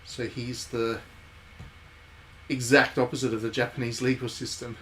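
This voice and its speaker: A man's voice: mid-range voice, level tone, moderate pace, fairly bright tone, a little hiss or hum. A man hosting a game stream, giving casual live commentary and thinking aloud in conversational asides.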